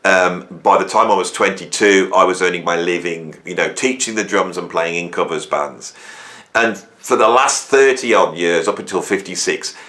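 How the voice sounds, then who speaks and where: A man's voice: sits low, normal speed, bright, forceful tone. A man in his fifties hosting a music channel, talking to camera in a rambling, self-questioning way and often tagging his points with "right?". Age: 50s. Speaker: YouTube music channel host. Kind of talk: reflective solo talk to camera.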